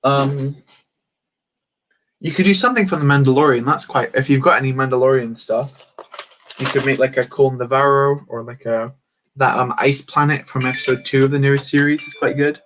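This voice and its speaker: A man's voice: medium pitch, fairly even intonation, a little fast, fairly bright tone, a little hiss or hum. A man hosting a casual livestream, rambling and answering chat comments while he builds. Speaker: livestream host.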